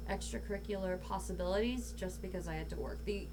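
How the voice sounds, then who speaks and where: A woman's voice: mid-range voice, fast talker. A young woman chatting casually and candidly as a podcast guest, swearing freely as she tells stories. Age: young adult. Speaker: podcast guest, voice actor and singer. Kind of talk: casual, candid anecdotal chat. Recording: clearly noisy with a background hum.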